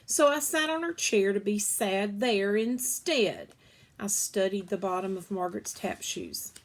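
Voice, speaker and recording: A woman's voice: mid-range voice, sing-song, measured pace. A woman reading a children's story aloud expressively, stretching key words as a storyteller does.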